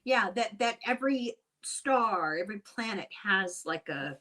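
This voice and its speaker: A woman's voice: mid-range voice, lively intonation, measured pace, pushed and bright. An older woman hosting a casual interview, asking inquisitive questions and wandering into asides as she talks. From Ireland. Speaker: host and interviewer.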